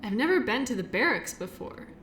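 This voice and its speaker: A woman's voice: on the high side, sing-song, fairly quick, fairly bright tone, slight background noise. A woman playing a shy, awkward, kindly medical worker in an audio roleplay, talking in a hesitant, rambling, apologetic way. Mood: musing, nervous. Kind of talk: audio roleplay acting, addressing the listener.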